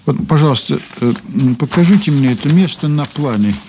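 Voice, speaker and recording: Insistent investigator, animated higher male voice. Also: fairly quick, slight background noise. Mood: probing. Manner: probing, polite but firm, emphatic. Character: male police investigator, insistent, ironic and authoritative. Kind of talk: radio play acting, interrogation.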